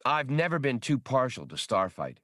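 A man voicing a restless, gruff starship pilot and gambler who complains, in an audiobook. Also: mid-range voice, very animated, normal speed, fairly bright tone, no room sound, studio quality. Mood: reluctant.

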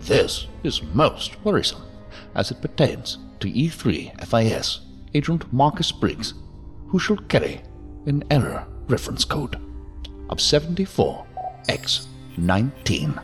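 An actor playing a cold, machine-like leader in an audio drama, speaking in a formal, precise, clinical manner. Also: male voice, mid-range voice, very animated, measured pace, faint music behind. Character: cold, imperious, machine-like leader of numbered entities.

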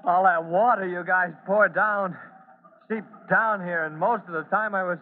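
An actor playing a plucky, polite young rookie fireman in an old radio serial, halting and boyish. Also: sits high, fairly even intonation, lightly acted. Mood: shaken, cheerful. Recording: tinny, noisy old radio recording.

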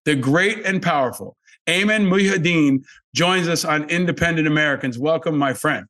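A man's voice: fairly high pitch, moderate pace, fairly bright tone. A man hosting a podcast interview in an informal, effusive way, with casual language. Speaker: podcast host.

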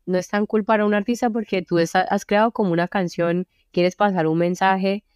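A young Colombian woman with a Colombian Spanish accent, chatting casually as an interview guest, relaxed and full of fillers. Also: mid-range voice, fairly quick, smooth, no echo, clean studio sound. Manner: relaxed, many fillers like "o sea" and "como". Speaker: singer-songwriter guest. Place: friends' apartment.

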